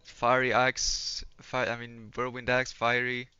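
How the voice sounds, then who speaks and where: Bright-voiced laid-back streamer man from Poland. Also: medium pitch, monotone, a little slow, clear, resonant tone, dry recording. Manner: laid-back, swears often, keeps saying "man". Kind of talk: casual live-stream chat while gaming. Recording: slightly dull lo-fi stream audio.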